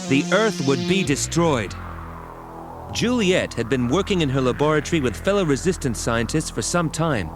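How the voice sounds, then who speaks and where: A man's voice: a little high, no room sound. A man giving scripted, dramatic narration as the storyteller of an audio storybook. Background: music.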